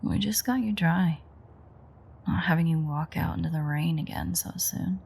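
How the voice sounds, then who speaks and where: A woman's voice: deep voice, normal speed, darkish tone, heavily acted. A woman playing a playful, flirtatiously menacing ancient vampire in an intimate roleplay audio drama, murmuring wryly and often close to a whisper. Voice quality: breathy, husky, often near-whisper. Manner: teasing, wry, murmured.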